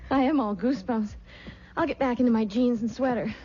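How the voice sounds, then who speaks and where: Young niece about to marry, mellow and breathy, nervous innocence, radio play, muffled old recording. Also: female voice, on the high side, a little fast. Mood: wistful. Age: young adult. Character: young bride-to-be niece, trusting but easily frightened. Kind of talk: radio drama acting.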